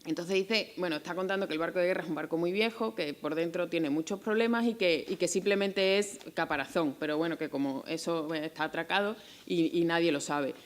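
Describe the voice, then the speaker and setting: Lower-pitched Spanish woman presenting a book. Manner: halting, reflective, with repeated words and searching pauses. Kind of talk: semi-improvised public talk about a book, reading short excerpts.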